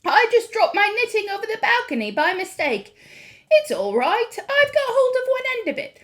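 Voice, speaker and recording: A woman voicing a calm, loving elderly grandmother who speaks steadily and warmly. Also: very high-pitched, moderate pace, pitched above the performer's own voice, heavily acted. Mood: calmly polite. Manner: cool, steady, affectionate.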